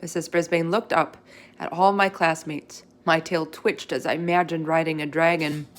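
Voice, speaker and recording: A woman reading a children's book aloud as a storyteller, expressively and with animated emphasis. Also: sits low, moderate pace, slight background noise. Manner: animated emphasis, acts out character dialogue.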